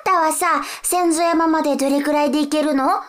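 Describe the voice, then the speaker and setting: A woman's voice: high voice, fairly even intonation, brisk, slight background noise, close to an everyday voice. A woman playing a lost young girl in an audio drama, anxious and blunt, with a whiny, casual way of talking. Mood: pushy.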